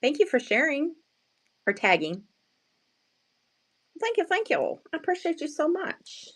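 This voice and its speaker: A woman with a slightly hoarse, just-woken morning voice, chatting casually and playfully as she sells handmade crafts on a livestream, often addressing viewers and poking fun at herself. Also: sits high, sing-song, a little slow, fairly bright tone. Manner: self-deprecating, playful slangy wording. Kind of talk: casual live sales chat with viewers.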